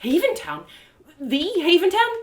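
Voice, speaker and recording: A woman voicing an excitable, warm-hearted young heroine in an audio drama, gushing and impulsive. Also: extremely high pitch, a little slow, pitched above the performer's own voice, full character voice. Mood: eager. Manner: gushing, impulsive, self-talking. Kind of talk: animated first-person audio drama. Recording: roomy, echoey live reading.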